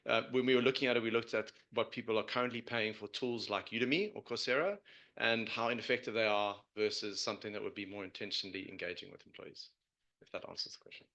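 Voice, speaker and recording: Dull recording, man, bright forceful voice, halting unscripted answers, startup founder. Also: on the low side. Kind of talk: unscripted question-and-answer explanation.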